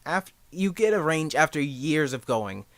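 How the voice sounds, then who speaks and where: A man's voice: fairly high pitch, animated, measured pace, dry recording. Young guy rambling through a tutorial, jokey, voice goes up and down a lot, dry close sound. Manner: rambling, self-deprecating, jokey. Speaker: amateur voice actor giving beginner advice. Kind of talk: casual spoken tutorial.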